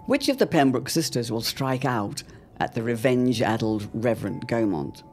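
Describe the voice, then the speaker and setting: A man narrating an old-time serial drama in a theatrical, portentous way with deliberate phrasing. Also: mid-range voice, expressive, a little mellow, soft background music.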